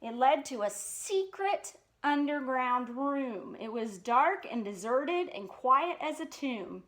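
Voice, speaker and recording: Woman storytime host, high-pitched, animated intonation, expressive read-aloud, casual asides. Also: takes it slowly.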